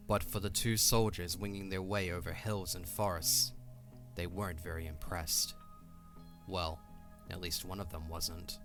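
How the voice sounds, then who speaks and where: Deep-voiced male storyteller over music. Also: flat delivery, measured pace. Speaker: narrator. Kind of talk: scripted audio drama storytelling narration.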